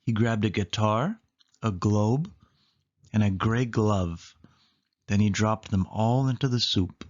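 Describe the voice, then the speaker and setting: A man's voice: on the low side, slow, a little mellow, dull audio. A man narrating a children's picture book aloud, leaning emphatically on key words. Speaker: storybook reader.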